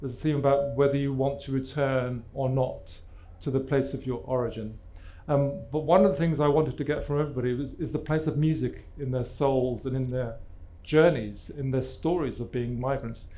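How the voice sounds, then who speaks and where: A man's voice: medium pitch, steady tone, moderate pace, hardly any pauses, dark tone. A man from Luton in England, the author and host of a live book talk, asking his guests questions and introducing the segments in a thoughtful way that laughs easily.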